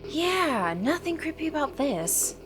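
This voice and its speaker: A woman's voice: high-pitched, very animated, unhurried, loud music behind the voice. A woman playing an earnest, wry superheroine in a radio play, with a determined manner. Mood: defiant. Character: earnest, wry young superheroine, bulletproof and dutiful.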